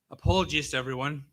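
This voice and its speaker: A Scottish man in his fifties giving a prepared eulogy for his brother, speaking slowly and carefully with a wry, gently humorous storytelling manner. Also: mid-range voice. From Scotland. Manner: deliberately slow, careful delivery. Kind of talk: prepared memorial speech.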